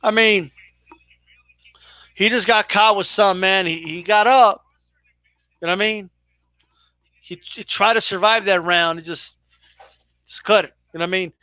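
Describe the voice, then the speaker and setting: A man's voice: high-pitched, unhurried, pauses often, bright, forceful tone, dry recording. Young male stream host, dry close sound, loose profane banter with chat. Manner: profane, chatty, banters with viewers. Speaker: boxing live-stream host. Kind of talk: casual live commentary to chat.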